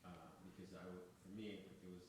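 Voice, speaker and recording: A man giving casual, halting, appreciative feedback in a group discussion. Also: sits low, fairly even intonation. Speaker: audience member giving feedback. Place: room in a library. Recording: echoey, distant, noisy room recording.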